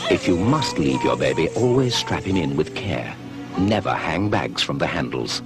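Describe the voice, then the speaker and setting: A man's voice: deep voice, very animated, moderate pace, over music. A man narrating a scripted public information film with a grave, cautionary delivery. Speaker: voice-over narrator.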